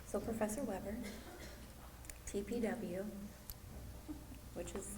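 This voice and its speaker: Woman, soft voice, nervous, hesitant, lots of ums, echoey room, clear background noise. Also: medium pitch, steady tone. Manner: hesitant, halting, with many ums. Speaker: nursing graduate giving a speech. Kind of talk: nervous speech with fillers.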